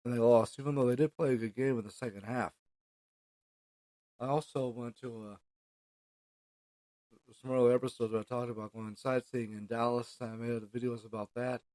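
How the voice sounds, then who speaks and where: A middle-aged man from Texas giving a casual solo podcast monologue in a halting, plain-spoken way, pausing mid-sentence. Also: mid-range voice, fairly even intonation, darkish tone, no echo, poor audio. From Houston, Texas. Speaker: podcast host.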